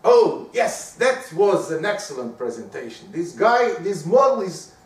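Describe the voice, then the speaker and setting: A man from Portugal with a Portuguese accent, whose first language is Portuguese, giving a lively lecture on presenting and often asking the audience rhetorical questions. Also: high voice, measured pace. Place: lecture room. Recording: echoey room, speaker far from the mic.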